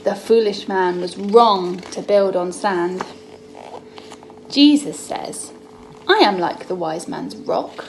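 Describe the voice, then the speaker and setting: A young adult woman reading a story to small children in an expressive, drawn-out way, as host of a children's Bible story time. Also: mid-range voice, creaky, rough recording. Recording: noticeable background noise, homemade recording.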